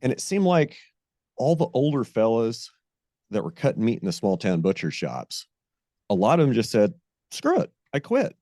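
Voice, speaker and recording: A man's voice: mid-range voice, huge pitch swings, dark tone, no room sound, clean studio sound. A man hosting a ranching podcast, talking in a loose, rambling way and often restarting his phrases. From American. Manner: rambling, repeats and restarts phrases, drawn-out emphasis. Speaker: podcast host. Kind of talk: casual interview chat.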